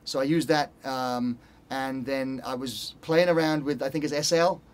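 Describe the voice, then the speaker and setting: A man's voice: mid-range voice, fairly even intonation, normal speed. A middle-aged man from Spain, a guitarist talking casually as an interview guest and often searching for words. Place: recording studio control room, seated.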